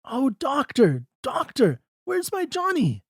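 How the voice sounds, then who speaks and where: A reader voicing a distraught older mother, pleading and wailing in high pitch. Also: sing-song, slow pace, dark tone, no echo, studio quality. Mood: frantic.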